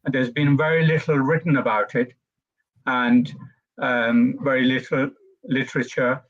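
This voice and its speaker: Measured man on a dull video call. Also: mid-range voice, fairly even intonation. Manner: measured, thoughtful, many hesitations. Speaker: panel speaker. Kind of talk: online panel discussion.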